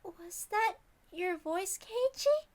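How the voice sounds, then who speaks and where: A woman's voice: very high voice, lively intonation, slow pace, voice pushed up, exaggerated voice. A woman voicing a timid, worried teenage girl in a visual novel, speaking hesitantly and anxiously. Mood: nervous. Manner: hesitant, soft-hearted, anxious.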